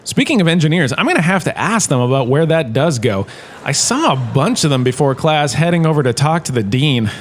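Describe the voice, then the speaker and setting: A man's voice: fairly high pitch, expressive, brisk, slight background noise, natural voice. A man playing a vain, bumbling washed-up star turned history lecturer in a comedic audio drama, with a theatrical, rambling, self-important delivery. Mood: chatty, self-satisfied.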